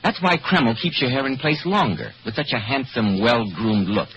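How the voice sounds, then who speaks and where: A man's voice: medium pitch, animated, moderate pace, a bit bright. A man with an American accent announcing and selling in polished, emphatic 1940s radio style. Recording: dull, noisy 1940s radio recording.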